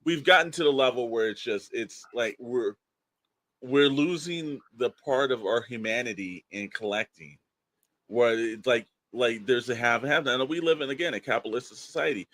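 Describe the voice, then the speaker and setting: Male podcast host, mid-pitched, dry recording. Casual, opinionated, rambling storyteller with exasperated emphasis. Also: normal speed, a bit bright, no echo.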